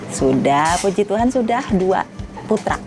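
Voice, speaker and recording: A woman's voice: medium pitch, animated, unhurried, darkish tone, faint music behind. A woman in her thirties answering interview questions in a casual, conversational way. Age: 30s. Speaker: interviewee, mother of two.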